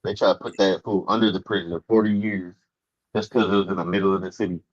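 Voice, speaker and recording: Low-voiced Bay man, lo-fi. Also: steady tone, quick pace, breathy edge. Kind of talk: casual livestream chat. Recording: dull lo-fi stream audio.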